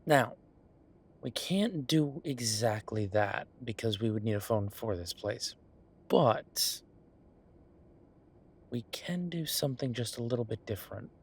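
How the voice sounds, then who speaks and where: A man playing an easygoing chef in an audio roleplay, talking in a casual, musing, explanatory way to his partner, with a slightly husky voice. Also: mid-range voice, animated, measured pace, pauses often. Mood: explaining, persuasive. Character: easygoing male chef planning restaurant business with his partner. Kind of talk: one-sided roleplay acting, casual chat.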